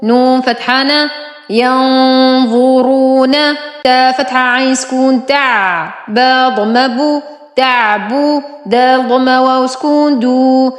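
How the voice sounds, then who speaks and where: Female teacher, very measured pace, deliberate drawn-out syllables for a phonics drill, reverberant sound with music behind. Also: sits high, steady tone. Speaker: Quran reading teacher. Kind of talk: slow spelling-out Arabic phonics drill.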